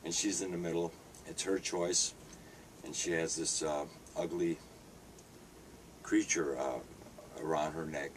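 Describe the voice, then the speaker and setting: Hesitant low-voiced man in his fifties. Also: steady tone, a little slow, long pauses. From Hungary. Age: 50s. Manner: hesitant, many fillers and restarts. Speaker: street paper vendor and artist. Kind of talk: interview answers. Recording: distant mic with faint background noise.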